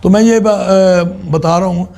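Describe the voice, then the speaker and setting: Slow, high-pitched male preacher. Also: fairly even intonation, vocal fry, mellow. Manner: halting, deliberate, repeats phrases to explain. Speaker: religious teacher, Islamic preacher. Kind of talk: extemporaneous religious teaching talk.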